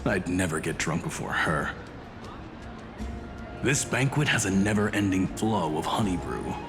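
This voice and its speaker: Deep-voiced, hearty jovial guard. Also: male voice, animated, measured pace. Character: jovial, hearty male guard who loves a drink. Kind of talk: anime-style video game voice acting. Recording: loud music behind.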